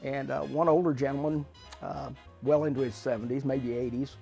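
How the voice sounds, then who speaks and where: A man's voice: mid-range voice, level tone, normal speed, gravelly, dark tone, soft background music. A man fondly recounting an audience's reaction in an interview. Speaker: radio theater member.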